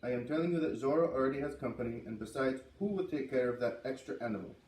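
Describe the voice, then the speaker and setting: A man's voice: mid-range voice, lively intonation, quick pace, a little mellow, reverberant, far from the mic, a little hiss or hum, close to an everyday voice, low-quality recording. A man voicing a stubborn, snappish older foreign woman who owns a farm, speaking bluntly in broken English. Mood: grudging, blunt.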